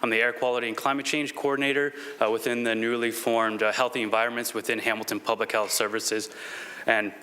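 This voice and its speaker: A man giving a formal presentation to a city council as a staff coordinator, polite and a little halting. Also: medium pitch, flat delivery, pushed and bright.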